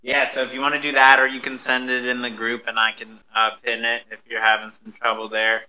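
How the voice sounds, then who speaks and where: A man's voice: mid-range voice, monotone, a little fast, bright, forceful tone, low-quality recording. An American man hosting a casual interview, chatting informally as he asks and explains. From United States. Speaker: host.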